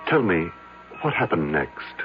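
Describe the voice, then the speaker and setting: An actor playing a calm psychiatrist, measured and gently probing, in a 1970s radio drama. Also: male voice, deep voice. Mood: patient. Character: calm, probing male psychiatrist drawing out patient. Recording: muffled radio broadcast with music.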